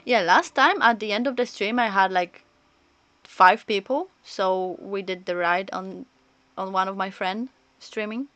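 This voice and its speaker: A woman's voice: medium pitch, measured pace, fairly bright tone, no echo, dull audio, faint music behind. A woman streaming a game, commenting casually in a chatty, rambling, hesitant way. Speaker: livestreamer.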